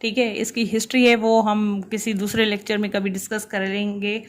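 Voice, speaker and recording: A woman's voice: mid-range voice, flat delivery, normal speed. A woman lecturing on legal text, reading out each clause and then explaining it in a didactic way, often checking in. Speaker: law lecturer.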